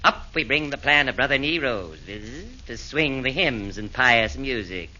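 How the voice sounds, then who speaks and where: A pompous sly fiend chairman, very bright voice, rhyming, muffled old broadcast. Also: medium pitch, animated, a little slow, a little hiss or hum. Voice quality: pompous, sing-song rhyming. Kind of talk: 1940s radio acting. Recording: muffled 1940s radio broadcast.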